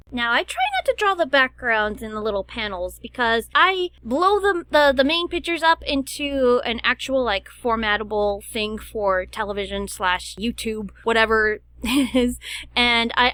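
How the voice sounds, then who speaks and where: A woman's voice: a little high, fairly bright tone. A young woman giving a casual, rambling voice-over as an animator, with a goofy, self-deprecating manner. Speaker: animator vlogger. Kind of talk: casual chatty voice-over about own art process.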